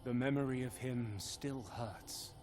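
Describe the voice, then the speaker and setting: An actor playing a solemn man who recounts a sorrowful legend of faith in a video game, in grave, measured storytelling. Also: mid-range voice, fairly even intonation, a little mellow, natural voice. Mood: sorrowful, reverent. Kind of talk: dramatic video game narration.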